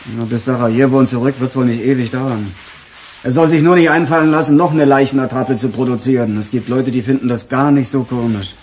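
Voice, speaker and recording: An actor playing a seasoned, skeptical chief inspector in a German radio crime play, speaking bluntly and drily. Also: male voice, mid-range voice, fairly even intonation, a little fast, a little mellow, breathy edge, close to an everyday voice. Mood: dry, insistent.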